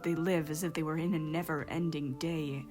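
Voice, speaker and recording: Casting: melancholy exiled painter played by a woman, low measured voice, wistful storyteller, instrumental music underneath. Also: fairly even intonation, close to an everyday voice. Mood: wistful storytelling. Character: exiled male court painter, centuries old, melancholy and wistful. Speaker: voice actor in a fan dub. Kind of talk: dramatic storytelling monologue. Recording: music behind the voice.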